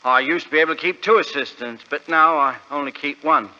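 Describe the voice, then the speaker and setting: An actor playing a proud, naive middle-aged London pawnbroker, British, plain-spoken and hesitant, in 1940s radio drama style. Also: male voice, a little high, fairly quick, sharp tone. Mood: earnest, rambling. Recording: dull vintage radio recording.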